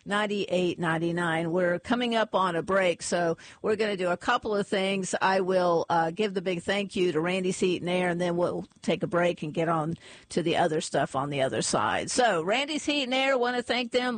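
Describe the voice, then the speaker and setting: A woman's voice: on the low side, no echo. Chatty radio host woman. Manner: chatty, laughs easily, reads ads and community notices briskly. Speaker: morning radio show host. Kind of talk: casual on-air chat and live sponsor reads. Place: radio studio. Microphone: studio microphone.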